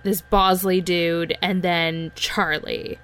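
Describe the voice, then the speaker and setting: Relaxed woman podcast co-host. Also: on the low side, slow, clean tone. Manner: relaxed, agreeable, casual swearing. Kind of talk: casual chat.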